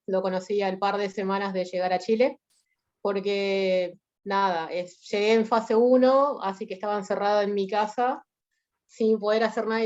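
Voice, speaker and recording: A woman chats easily as she introduces herself to a group. Her voice is mid-pitched and comes through a dull, muffled call line. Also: darkish tone. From Argentina.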